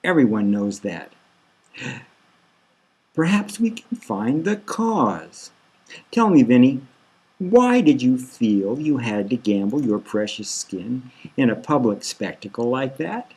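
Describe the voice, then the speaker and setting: A man puts on a smug male therapist for a satirical audiobook. The delivery is slow and patronising, probing with false comfort. The voice is husky and swoops widely in pitch. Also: sing-song, darkish tone, exaggerated voice, low-quality recording. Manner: patronising, deliberate, insistent. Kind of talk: satirical audiobook novel reading.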